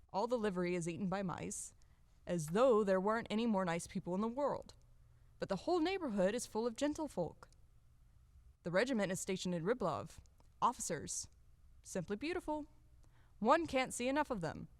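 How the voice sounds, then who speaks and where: An actress playing a fussy, devoted old household servant in an audio play, coaxing and deferential. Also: female voice, medium pitch, no room sound, natural voice. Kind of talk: audio play acting of a classic stage comedy.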